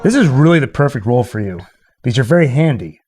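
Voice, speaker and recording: A man's voice: medium pitch, animated, fast, darkish tone. A man chatting casually as the host of a livestream. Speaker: host and performer.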